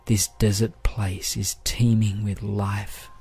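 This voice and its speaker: Slow, low-pitched male meditation guide over music. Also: level tone, gravelly, husky. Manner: gentle, soothing, measured delivery.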